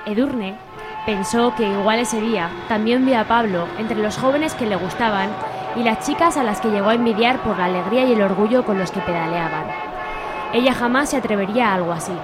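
A woman's voice: mid-range voice, fairly quick, over loud music. A woman with an accent from Spain reading scripted narration for a radio drama.

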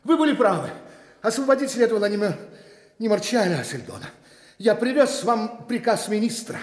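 A man's voice: high-pitched, very animated, a little fast. An actor in a radio play voicing a scheming, self-assured conspirator nobleman, speaking in an imperious, emphatic theatrical way. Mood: smooth, commanding. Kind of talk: Russian radio play acting.

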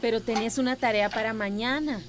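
A woman acts an earnest village schoolteacher with a Central American accent. Her voice is fairly high, her manner insistent. It is a radio drama with slight background noise. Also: steady tone, fairly quick, lightly acted. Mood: kind, steady.

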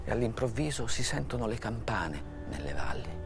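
A man's voice: medium pitch, very animated, normal speed, vocal fry. A man reading scripted narration for a documentary. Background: music.

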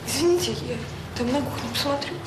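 An actress as a conscientious children's home worker with a reproachful way about her. Her voice is a bit high. The radio-play sound is echoey and noisy. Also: fast, close to an everyday voice. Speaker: actor in an audio drama. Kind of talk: Soviet radio drama acting.

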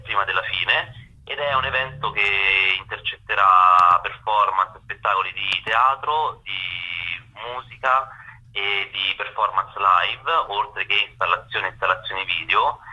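A man's voice: on the low side, sharp tone. A man giving wordy, explanatory, spontaneous answers as a guest curator in a radio phone interview, searching for words as he goes, over a telephone line. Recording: dull, band-limited telephone line.

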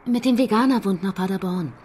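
A woman acting a caring, earnest female companion in a radio play, with a medium-pitched voice. She speaks a little hesitantly, with some ambient noise behind her. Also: fairly quick, darkish tone, close to an everyday voice.

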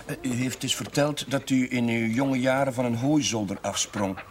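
An actor playing a troubled, polite village chaplain in a television period drama, speaking formally and hesitantly. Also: male voice, mid-range voice, steady tone, moderate pace, close to an everyday voice. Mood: careful, pleading. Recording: noisy old television soundtrack.

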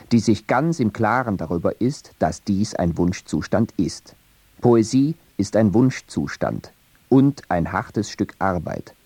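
Guy reading a poetry essay for radio, low voice, lots of up and down, dry studio sound. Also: warm tone, slightly rough, no echo. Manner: measured, deliberate literary reading.

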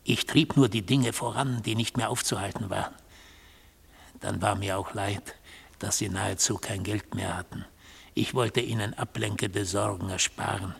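An actor playing an enigmatic accused man in a literary radio play, justifying himself with deliberate, poetic intensity. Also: fairly low pitch, fairly even intonation, vocal fry, slightly rough, full character voice. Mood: self-justifying.

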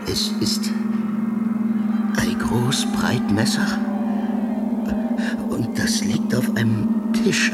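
An actor playing a tormented, jealous soldier in a radio drama, halting and obsessively repeating himself. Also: male voice, medium pitch. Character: tormented jealous young soldier, haunted, feverish, brooding. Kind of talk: German radio drama acting. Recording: loud music behind the voice.

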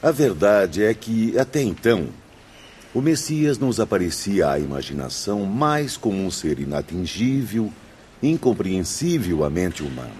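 Radio drama devotee: earnest, reverent, low voice, unhurried delivery. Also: male voice, big pitch swings, darkish tone, a little hiss or hum. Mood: reverent wonder. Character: earnest, devout male spirit marveling at Christ. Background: faint background music.

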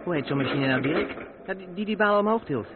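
An actor the playing an eager, inquisitive young trucker's mate in a radio play. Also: male voice, high-pitched, animated, fast talker. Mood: curious. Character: Daan, curious young male trucker's mate, eager learner. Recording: tinny, noisy old radio recording.

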